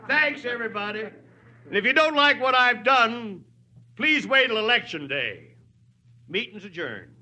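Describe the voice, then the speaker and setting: An actor playing a folksy, firm small-town mayor addressing a rally in a 1940s radio drama, plain-spoken and emphatic. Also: male voice, very high voice, very animated, a little slow, pushed and bright, heavily acted. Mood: conciliatory. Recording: old 1940s radio broadcast.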